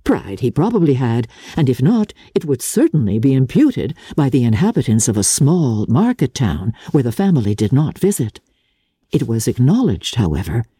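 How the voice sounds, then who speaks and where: A woman narrator giving a dramatic, measured reading of classic literature in a formal, expressive storytelling manner, with a husky voice. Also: deep voice, dry recording, clean studio sound. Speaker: audiobook narrator.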